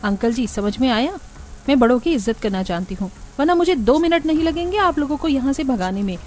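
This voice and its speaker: A woman's voice: high-pitched, animated, fairly quick, a little mellow. A woman voicing a hardworking, feisty young daughter-in-law in a village cartoon. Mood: defiant.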